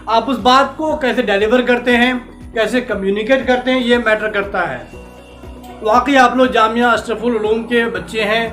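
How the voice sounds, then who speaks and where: High-voiced male teacher in an echoey classroom. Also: fairly bright tone. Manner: mixes English phrases into Hindi, asks students questions. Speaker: English teacher. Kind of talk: classroom lecture to students.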